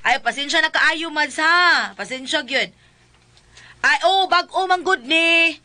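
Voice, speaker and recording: A vain spendthrift wife in a radio drama, speaking with a Cebuano Filipino accent. Her voice is high and sharp, and she rambles in gushing exclamations, boastful and quick to snap. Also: exaggerated voice. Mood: gushing, showy.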